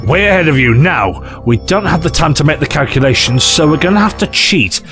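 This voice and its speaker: A man's voice: fairly high pitch, lively intonation, brisk, over music. A man playing a glib, eccentric alien time traveller in an audio drama, flippant, theatrical and condescending. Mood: smug, indignant.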